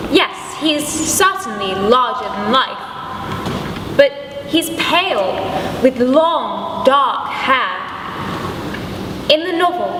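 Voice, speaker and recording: A young woman giving a deliberate, theatrical scripted narration, recorded from a distance in a noisy, echoey hall. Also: high-pitched. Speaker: pupil narrator. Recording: distant, echoey hall with loud background noise.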